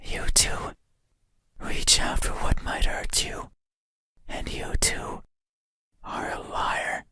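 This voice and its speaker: A performer voicing an eerie, obsessive monster in a breathy whisper, speaking slowly and menacingly in short phrases for an ASMR horror roleplay. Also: pushed and bright. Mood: accusing, eerie. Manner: slow, deliberate, menacing, broken into short phrases. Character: eerie, obsessive monster demanding you open your eyes.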